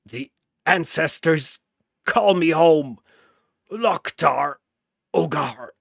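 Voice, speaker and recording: A man's voice: fairly high pitch, animated, drawn-out words, creaky, fairly bright tone, dry recording, studio quality, exaggerated voice. A man voicing a proud, honor-bound tauren warrior in a fantasy battle story. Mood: solemn, dying.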